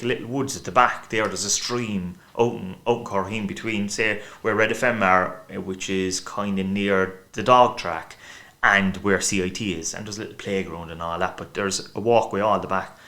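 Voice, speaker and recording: A man's voice: fairly low pitch, steady tone, normal speed, few pauses, pushed and bright. A man with a thick Cork accent, a podcast guest chatting casually and rambling at length. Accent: Cork Irish. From Ireland. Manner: chatty, rambling, acts out quoted voices. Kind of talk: casual chat.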